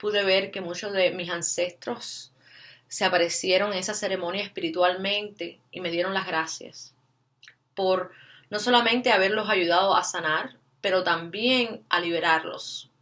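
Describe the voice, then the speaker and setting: A woman with a Cuban Spanish accent talks straight to her viewers in a bright, ringing voice. She keeps checking in with short tag questions and mixes English fillers into her Spanish as she tells her story. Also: lowish voice. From Cuba. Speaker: vlogger. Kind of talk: personal storytelling to camera.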